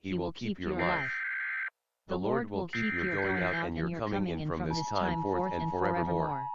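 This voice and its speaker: A man's voice: a little high, gravelly. A man repeatedly reciting scripture in a declamatory, liturgical style, in a muffled recording amid a crowd. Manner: repetitive, declamatory.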